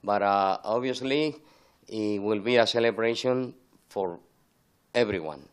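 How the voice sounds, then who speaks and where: A man's voice: fairly low pitch, unhurried, clear, resonant tone. An older man from Spain with a Spanish accent, explaining his work as a sculptor in an interview. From Spain, born in Andalusia, grew up in Madrid.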